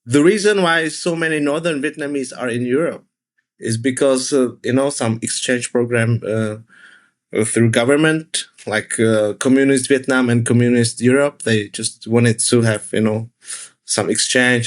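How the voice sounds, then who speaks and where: A man's voice: medium pitch, fairly even intonation, normal speed. A man, a film director guest on a panel, speaking with many fillers and restarts. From Czech Republic. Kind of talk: casual panel conversation.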